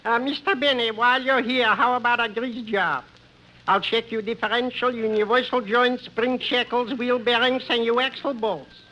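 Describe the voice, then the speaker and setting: An actor playing a friendly, silly gas station helper in 1950s radio comedy, with drawn-out exclamations and droll storytelling. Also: male voice, extremely high pitch, fairly even intonation, bright, forceful tone, dull audio. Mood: cheerful, helpful. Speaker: comic supporting actor. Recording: noisy vintage 1950s radio recording.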